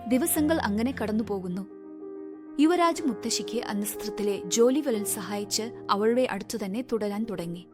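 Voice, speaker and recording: A woman's voice: mid-range voice, lively intonation, moderate pace, over music. A woman reading scripted narration for a cartoon moral story.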